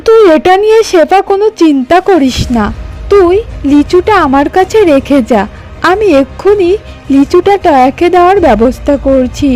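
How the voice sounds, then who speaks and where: A woman's voice: very high voice, animated, moderate pace, warm tone, soft background music, rough recording. A woman playing a sly, pushy tigress neighbour in a cartoon, nosy and full of false concern. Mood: feigned concern.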